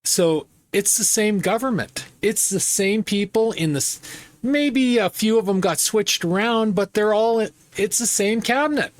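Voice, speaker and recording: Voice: medium pitch, measured pace. A host giving opinionated political commentary in an emphatic, repetitive and scornful manner.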